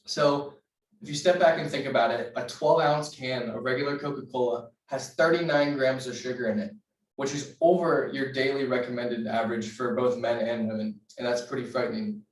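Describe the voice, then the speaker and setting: A young man delivers a persuasive speech for a class, earnest and steady as he works through statistics, sometimes restarting a phrase. His voice sits at a middle pitch. The mic is set well back, so he sounds distant in a roomy, echoing space. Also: moderate pace. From United States. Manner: earnest, cites figures, occasional restarts. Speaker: student giving a class speech.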